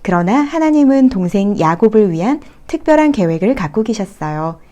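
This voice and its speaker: A woman narrating scripted Bible stories for small children in a gentle, explanatory way. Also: medium pitch, sing-song, fairly quick, highs cut off. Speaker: storyteller.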